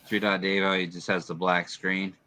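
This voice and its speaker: A man's voice: low-pitched, flat delivery, normal speed, bright, forceful tone. A man chatting on a live stream in a laid-back way, swearing casually. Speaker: panel guest.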